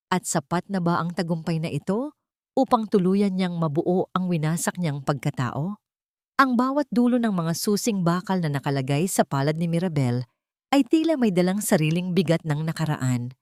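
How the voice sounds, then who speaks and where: A woman narrating a scripted dramatic story in a measured, solemn storytelling manner. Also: lowish voice, darkish tone, no echo, clean studio sound. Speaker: narrator of Tagalog love stories.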